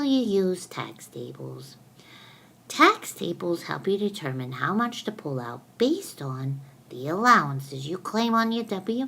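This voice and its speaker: A woman playing a patient, motherly explainer who gently corrects and teaches in a storybook skit. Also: mid-range voice, gravelly, pitched above the performer's own voice, full character voice.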